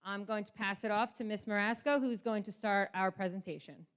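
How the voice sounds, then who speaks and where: Clear-voiced woman giving a school presentation. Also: mid-range voice, fairly even intonation, brisk. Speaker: assistant principal. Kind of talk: informal presentation to parents and students. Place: school meeting with an audience. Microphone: microphone.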